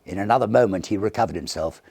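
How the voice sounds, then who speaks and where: A man reading a Victorian ghost story as an audiobook narrator, theatrical and expressive, with deliberate phrasing. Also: lowish voice, no room sound.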